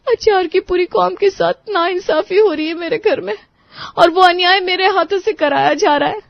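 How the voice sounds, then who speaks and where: Brief: woman voicing an exasperated young bride, high voice, dull mic. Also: normal speed, dry recording. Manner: complaining, frantic. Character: young daughter-in-law, exasperated and whiny. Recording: dry, slightly muffled studio voice.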